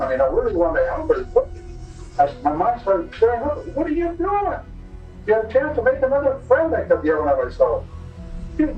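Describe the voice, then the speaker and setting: Halting man on echoey, distant interview tape. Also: high-pitched, darkish tone, lo-fi sound. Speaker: confessing convict in police interview. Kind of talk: unscripted interview answers.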